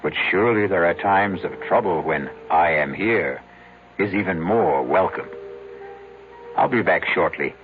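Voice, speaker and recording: Low-voiced theatrical radio host, male. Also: animated, faint music behind. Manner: measured, literary, dramatic. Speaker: host of radio drama series. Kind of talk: theatrical scripted narration, old radio. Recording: phone-like old radio recording.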